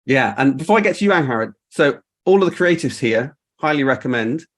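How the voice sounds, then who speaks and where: A man's voice: mid-range voice, lively intonation, fairly quick. A man hosting a casual live-stream chat in a chatty, appreciative way. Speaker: host of a marketing show.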